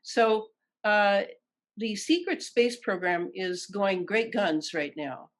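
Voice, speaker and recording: An older woman with an American accent answering in an interview, deliberate and emphatic, repeating phrases for weight. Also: medium pitch, lively intonation. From Detroit, United States. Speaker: interview guest.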